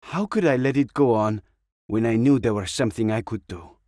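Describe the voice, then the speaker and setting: A man's voice: medium pitch, a little fast, dark tone, no echo, clean studio sound, lightly acted. A man voicing a weary, stern, principled middle-aged woman, an earnest veteran soldier, in an audiobook story. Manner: earnest, disapproving.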